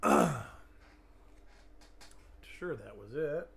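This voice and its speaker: A man's voice: medium pitch, animated, very slow, vocal fry, fairly bright tone, a little hiss or hum. A middle-aged man hosting a live coin-hunting stream with a weak, fading voice after an illness. He chats along, greeting viewers by name while looking over coins. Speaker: host.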